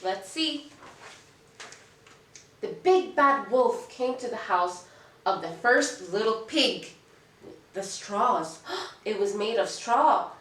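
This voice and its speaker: Slow, echoey woman reading to young children. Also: mid-range voice. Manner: expressive, deliberate, teacherly. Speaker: children's storyteller. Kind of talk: read-aloud storytelling with questions to young children. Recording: echoey room, distant mic.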